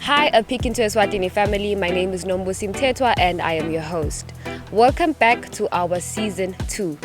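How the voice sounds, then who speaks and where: A woman host giving an upbeat, quick introduction to a show. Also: mid-range voice, over music.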